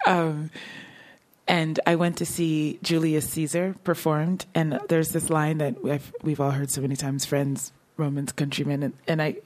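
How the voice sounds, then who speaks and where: A woman's voice: sits low, flat delivery, measured pace, darkish tone. A woman novelist talking casually about her writing and answering audience questions, laughing often. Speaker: novelist at a book talk. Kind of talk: conversational talk and audience Q&A.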